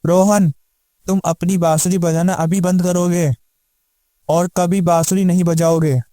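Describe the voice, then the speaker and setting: A man's voice: high-pitched, level tone, measured pace, mellow, no echo, studio quality. A man playing a worried, suspicious village man in a cartoon moral story, emphatic and questioning. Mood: accusing. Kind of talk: Hindi animated moral story acting.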